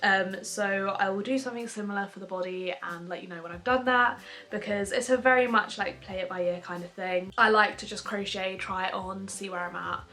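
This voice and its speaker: A woman's voice: mid-range voice, fairly even intonation, brisk, bright, forceful tone. A young woman giving a casual, chatty step-by-step crochet tutorial at home, with frequent ums.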